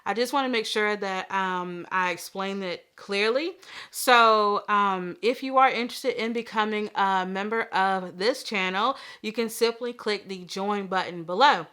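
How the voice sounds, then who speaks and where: A young woman hosts her own vlog in easy, rambling chat, a little stuffy-nosed from allergies. Her voice is bright and forward, and she keeps it crisp and smooth between frequent little laughs and fillers. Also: mid-range voice, measured pace. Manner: chatty, laughs often, many fillers. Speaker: vlog host. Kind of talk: casual chat.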